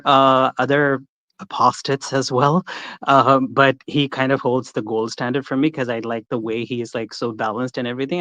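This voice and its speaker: A man's voice: mid-range voice, a bit bright, no echo. A man calling in to a live stream, telling his story in a rambling way with many restarts. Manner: rambling, lots of restarts and fillers. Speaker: caller. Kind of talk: casual call-in, personal story. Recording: dull, muffled call audio.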